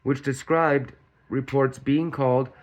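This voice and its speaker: A man's voice: mid-range voice, steady tone, a little slow, muffled recording. A man hosting a commentary channel, reading out news in a casual way and often tripping over words and correcting himself.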